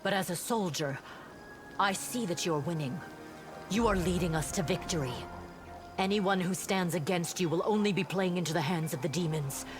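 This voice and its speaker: A woman acting a low-voiced, earnest paladin officer. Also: close to an everyday voice. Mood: troubled, resolute. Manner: formal, measured military report. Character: earnest, dutiful female paladin officer of a crusade. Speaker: game character reporting to a commander. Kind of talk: voice-acted fantasy game dialogue. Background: music.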